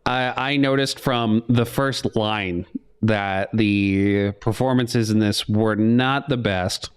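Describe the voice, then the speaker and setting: Young guy ranting about a movie on a podcast, sarcastic, smooth clear voice. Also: fairly low pitch, slow. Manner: animated, sarcastic, mimics characters in goofy voices. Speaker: podcast co-host. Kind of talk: casual chat, movie review.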